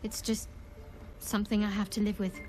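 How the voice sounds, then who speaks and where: A wry, guarded woman adventurer from a video game, voiced by an actress. Her medium-pitched voice is dry and controlled, keeping secrets. Music plays clearly behind it. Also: flat delivery, normal speed, darkish tone, natural voice. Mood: guarded calm.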